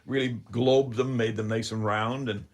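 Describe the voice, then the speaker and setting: An older man with a Canadian accent hosting a radio talk show in a folksy, halting, unscripted way. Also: mid-range voice, steady tone.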